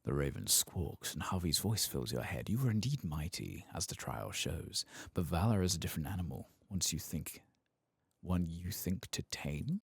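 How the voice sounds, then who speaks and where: A soft-spoken man doing ASMR commentary on a video game, sometimes dropping into a whisper, with a husky, breathy voice. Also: low-pitched, lively intonation, moderate pace, no room sound, studio quality. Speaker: game streamer narrating a playthrough.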